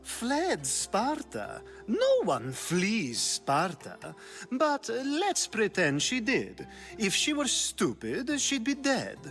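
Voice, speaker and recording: A charming young Athenian rogue in a video game, drawling slow and teasing over faint music. His pitch sits a little high and swings widely as he leans into every suggestive line. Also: wildly swooping pitch, a bit bright, exaggerated voice. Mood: sly. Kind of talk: video game cutscene acting.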